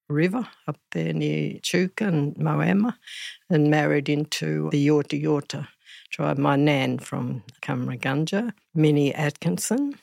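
An older Australian woman, a community elder, telling her life story slowly and reflectively. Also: sits low, creaky, darkish tone, dry recording, studio quality. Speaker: guest elder.

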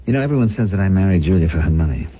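A muffled, phone-like radio recording of a suave factory boss around forty. His low, husky voice swings expressively as he plays the ambitious husband. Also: animated, racing. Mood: intense, confiding. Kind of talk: 1970s radio drama acting.

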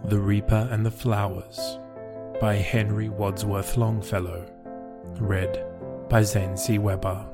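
A man recites poetry in a low voice. His delivery is very slow, solemn and deliberate. Loud music plays behind him throughout. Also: flat delivery. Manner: measured, deliberate. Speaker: poetry podcast reader.

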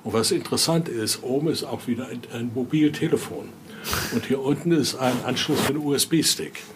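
A man giving an informal, halting explanation as a guide, often ending phrases with little questioning tags. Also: medium pitch, lively intonation, noisy background. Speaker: interviewee, exhibit guide and Voodoo expert. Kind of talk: informal spoken explanation during a guided tour.

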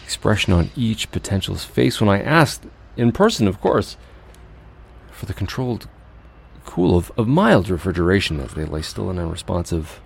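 A man playing a cold, fastidious, obsessive recluse in an audio drama monologue, speaking in a precise, deliberate, brooding way. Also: low-pitched, animated, gravelly, breathy edge, heavily acted. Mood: tormented, confessional. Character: cold, fastidious, obsessive male recluse confessing monstrous urges.

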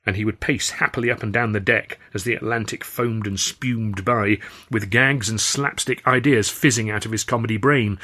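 A man's voice: fairly low pitch, pushed and bright. A man narrating his own novel as a scripted audiobook. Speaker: author reading own novel.

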